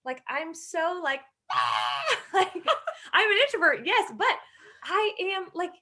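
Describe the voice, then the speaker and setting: A woman chats casually as a podcast co-host. Her voice is high and bright, with big swings in pitch. She is exuberant and playful, leaning into dramatic emphasis. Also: big pitch swings, slow pace.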